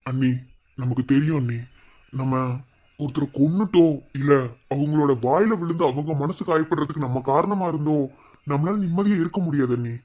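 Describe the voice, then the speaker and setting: Actor as gentle young guy consoling family, mellow highish voice, bit muffled lofi audio. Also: fairly quick, slight background noise, close to an everyday voice. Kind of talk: family drama acting. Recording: dull, slightly lo-fi sound.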